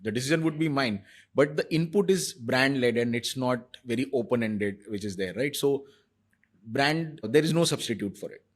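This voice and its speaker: A man of middle pitch talks through his ideas as an interview guest, laying out points one by one. He explains patiently, often pausing on small fillers as he thinks. His tone is conversational and measured. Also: slightly rough. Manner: thoughtful, explanatory, frequent fillers like "you know". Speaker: marketing executive guest.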